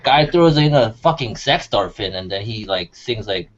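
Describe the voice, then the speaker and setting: Male co-host, mid-pitched, very lively intonation, slangy and sweary, slightly muffled recording. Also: moderate pace. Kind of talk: casual chat. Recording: muffled, faint room noise.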